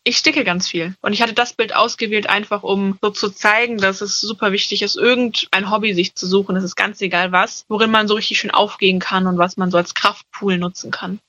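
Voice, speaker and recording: A woman in her twenties, a student, speaking calmly and thoughtfully about her own experiences in an interview. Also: medium pitch, brisk, hardly any pauses, lo-fi sound. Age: 20s. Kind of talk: personal testimony.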